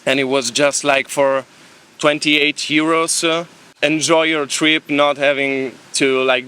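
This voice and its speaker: A man's voice: medium pitch, sharp tone, clear, resonant tone. A young man with an Italian accent chatting easily in a beach interview about his travels. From Italy. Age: young adult. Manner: chatty, easygoing, laughs between thoughts. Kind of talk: casual street interview. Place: beach, outdoors.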